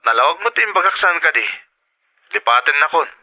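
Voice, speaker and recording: A man's voice: a little high, expressive, quick pace, dull audio. A man playing a callous, evasive sweetheart in a melodramatic audio drama. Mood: cold, scornful. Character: callous, evasive male sweetheart who scorns his lover. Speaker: drama actor.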